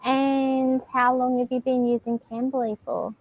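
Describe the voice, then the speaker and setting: Woman, English tutor; fairly high voice, encouraging, dull lo-fi video-call sound. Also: level tone, unhurried, dry recording. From Australia. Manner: encouraging, asks simple questions. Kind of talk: online language lesson conversation. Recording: muffled video call with faint noise.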